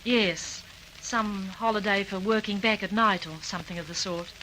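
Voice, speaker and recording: A woman's voice: medium pitch, fairly even intonation, normal speed, pushed and bright, slight background noise, lightly acted, poor audio. A woman playing a polite, anxious mother of a grown son in a radio drama. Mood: polite, uneasy. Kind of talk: classic radio drama acting.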